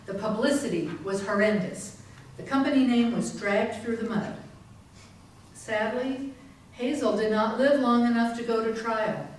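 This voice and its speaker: Determined, indignant female campaigner played by an actress, slightly high voice, dramatic stage reading in a very echoey hall. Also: measured pace, lightly acted. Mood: indignant, earnest. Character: determined, indignant woman activist and investigator. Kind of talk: dramatic readers theater narration. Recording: very echoey hall, far mic, faint noise.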